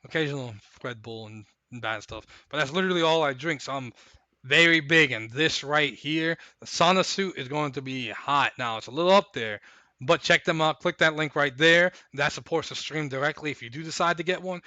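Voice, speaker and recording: A man hosting a live stream, chatting casually and recapping fights in a rambling, hyped way while his voice goes hoarse. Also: fairly high pitch, brisk, bright, forceful tone, no echo. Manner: rambling, hyped, repeats himself. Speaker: stream host.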